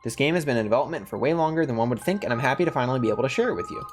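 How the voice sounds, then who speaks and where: A man's voice: medium pitch, animated, racing, faint music behind. A man who hosts gaming videos, reading story text aloud and commenting casually.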